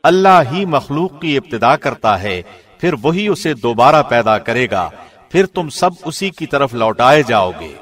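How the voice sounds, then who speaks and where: A man, a professional radio host, giving a calm, formal studio reading of a scripture translation. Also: mid-range voice. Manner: calm, even, formal delivery.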